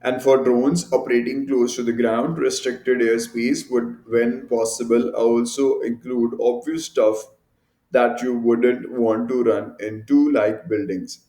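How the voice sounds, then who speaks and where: A man hosting a video channel, reading an article aloud in a halting way, stumbling over words and correcting himself. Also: mid-range voice, flat delivery, warm tone. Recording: echoey room.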